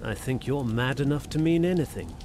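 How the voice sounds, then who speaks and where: An actor playing a bold, sardonic young gentleman in a radio suspense drama, wry and challenging in manner. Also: mid-range voice, animated, a little fast, noisy background, lightly acted.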